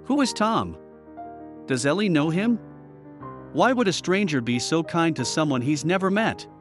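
A man's voice: fairly high pitch, sing-song, normal speed. A man reading scripted story narration with a dramatic storytelling delivery. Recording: voice-over with music bed. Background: music.

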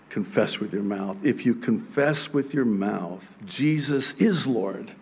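A man in his fifties with an Arabic accent, preaching a sermon from a church pulpit, joking and building to emphatic, near-shouted points. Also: mid-range voice, slightly rough. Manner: humorous, rising to emphatic near-shouting peaks. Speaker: pastor. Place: church stage behind a pulpit. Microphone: pulpit microphone.

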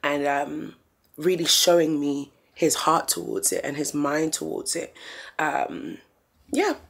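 A woman vlogger with a raspy voice, signing off her weekly vlog with an unhurried, thoughtful reflection. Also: deep voice, fairly even intonation, a little hiss or hum.

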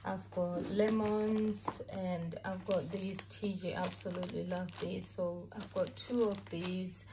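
A woman, a mother, chatting casually to camera about her groceries, unhurried and full of ums and uhs. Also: on the low side, flat delivery, background noise. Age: adult mother. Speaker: vlogger showing a grocery haul. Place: home, at a table with groceries.

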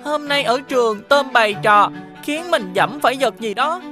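A woman with a southern Vietnamese accent voicing a gentle, wary little schoolboy in a children's cartoon. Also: fairly high pitch, animated, normal speed, music in the background, lightly acted. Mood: uneasy.

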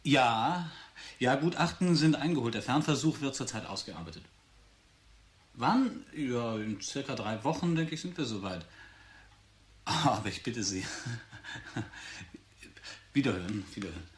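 Actor as a polite, mid-pitched institute assistant. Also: male voice, lively intonation, a little slow, long pauses, close to an everyday voice. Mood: businesslike. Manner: polite, businesslike. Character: obliging male assistant at a research institute. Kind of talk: German radio drama acting.

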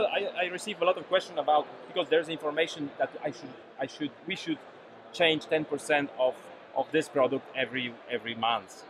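Male company boss, 40s, fairly high pitch, repetitive halting delivery, informal pitch on a noisy expo floor. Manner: halting, repeats words, non-native English phrasing. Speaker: company CEO, product presenter. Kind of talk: informal product demonstration in an interview. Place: busy expo hall, at shop shelves. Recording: noisy expo hall ambience behind.

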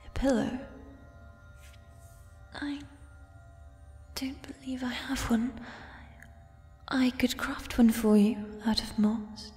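Whispering giant troll girl, played by a woman, shy and nurturing, very slow and mellow, for fantasy ASMR roleplay in deep reverb. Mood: shy, tender. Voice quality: soft, breathy whisper. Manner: slow, formal old-fashioned phrasing, tender. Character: gentle, shy giant female mushroom troll, tender and nurturing. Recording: long reverb, music behind. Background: fantasy ambience music.

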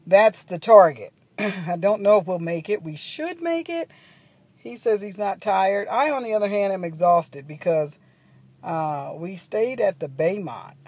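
A woman's voice: fairly low pitch, a little mellow, breathy edge, no room sound. A middle-aged woman chatting to her phone camera from a parked minivan at a gas station, rambling with ums and asides. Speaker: travel vlogger. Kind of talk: casual vlog chat to camera. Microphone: phone camera at arm's length.

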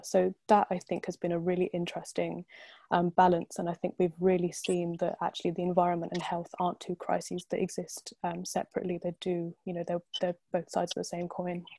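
A woman in her twenties speaking as a panelist on a video call, making a measured, articulate argument through earphones from a bright room at home. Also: on the low side, gravelly, dry recording. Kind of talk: online panel discussion. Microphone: earphone headset on a video call.

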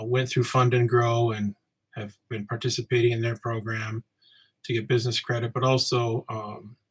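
A man in his forties, originally from Samoa, hosting a casual solo podcast from his home office, talking in a relaxed, rambling way with many ums. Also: mid-range voice, breathy edge, low-quality recording. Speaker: podcast host. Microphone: webcam framing, seated at desk.

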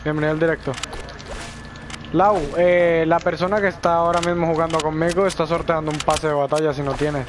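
Excitable man streaming a game. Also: fairly high pitch, fairly quick. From El Salvador. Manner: excitable, repeats a catchphrase, switches to English. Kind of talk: live gameplay chat. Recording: noisy game audio behind.

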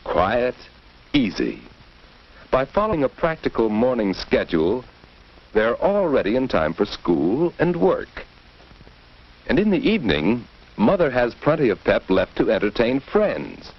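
A man's voice: mid-range voice, big pitch swings, a little slow, breathy edge. Swooping 1940s American male narrator. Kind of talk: 1940s instructional film narration. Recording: dull, muffled 1940s film soundtrack.

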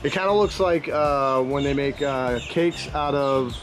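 A man's voice: medium pitch, measured pace, loud music behind the voice. A man with a Maine accent, hosting a casual vlog outdoors and chatting loosely with many fillers and restarts. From Maine, USA. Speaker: vlog host. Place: outdoors in a town.

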